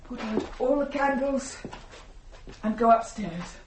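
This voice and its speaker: An actress playing an anguished woman possessed by a starving mother's spirit, speaking slowly and declaiming in a radio horror drama. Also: a little high, a little mellow, a little hiss or hum, poor audio. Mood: haunted, commanding.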